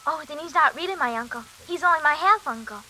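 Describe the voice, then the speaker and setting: A woman's voice: high voice, expressive, fast talker, sharp tone, heavily acted. A woman playing an innocent, curious little schoolgirl with a naive, childlike manner in a 1940s radio drama. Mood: chirpy, curious. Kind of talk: 1940s radio suspense acting. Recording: hissy, crackling 1940s radio recording.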